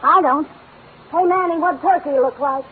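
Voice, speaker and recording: Blunt, high-voiced folksy woman played by an actress. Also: moderate pace, natural voice. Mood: nonchalant. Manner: nonchalant, folksy, ungrammatical. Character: blunt, rambling woman answering the telephone. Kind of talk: old-time radio drama acting. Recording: muffled, faintly noisy vintage radio recording.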